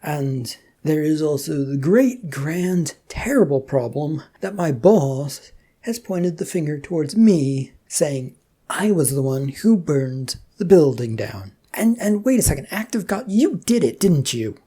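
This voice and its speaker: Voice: mid-range voice, animated, measured pace, mellow, breathy edge. A narrator voicing an anxious, exasperated man with a hesitant, sarcastic manner in a story reading. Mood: worried, suspicious. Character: exasperated, anxious everyman, reluctant prophet of a god.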